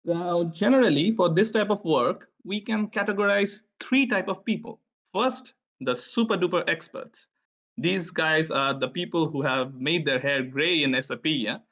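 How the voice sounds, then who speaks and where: A man's voice: a little high, normal speed, fairly bright tone. A man from India, presenting a tech session in a friendly, chatty way with frequent filler sounds. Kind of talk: conference presentation. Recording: dull, lo-fi online audio.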